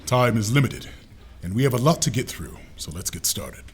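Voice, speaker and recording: A man's voice: mid-range voice, very animated, normal speed, a little hiss or hum, close to an everyday voice. A man playing an admiral who leads a classified summit in a clipped, businesslike tone. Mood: brisk. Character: brisk male admiral leading a secret summit.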